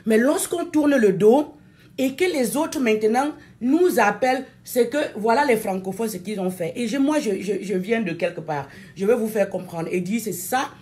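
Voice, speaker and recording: A woman from Cameroon, a native French speaker with a Cameroonian accent, giving an impassioned political address in an emphatic, deliberate way, repeating phrases for stress. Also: fairly high pitch, darkish tone. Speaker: political activist addressing viewers.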